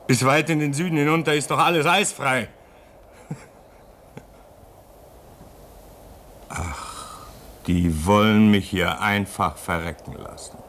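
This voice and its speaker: A man's voice: on the low side, fairly even intonation, normal speed, fairly bright tone, a little hiss or hum. An actor in a German radio play, playing an embittered, homesick man stranded in the Arctic, brooding and anguished. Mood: bitter, agitated. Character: embittered, homesick husband stranded at a polar station.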